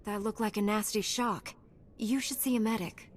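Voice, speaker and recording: An actress playing a calm, noble warrior monk in a video game, composed and assured. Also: female voice, medium pitch, normal speed, a little hiss or hum, natural voice.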